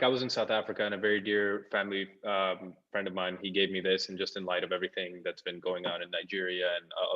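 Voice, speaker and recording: Low, flat-voiced man, muffled audio. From Saudi Arabia. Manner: joking, filler-heavy, earnest. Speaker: actor on the show. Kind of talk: casual panel talk.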